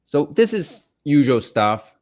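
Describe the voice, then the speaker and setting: A man's voice: on the high side, big pitch swings, takes it slowly, darkish tone. A man from England chatting casually as a guest musician, telling stories in a self-deprecating way. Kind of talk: casual chat.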